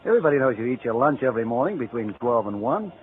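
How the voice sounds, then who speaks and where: A thin, slightly noisy old radio recording carries an actor playing a male department store employee. He talks in 1940s radio style, wry and teasing, at a middling pitch. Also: very animated, very fast, phone-quality sound, close to an everyday voice.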